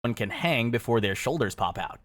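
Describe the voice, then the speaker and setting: A man reading scripted second-person narration in a measured, matter-of-fact way. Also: mid-range voice, animated, fairly bright tone.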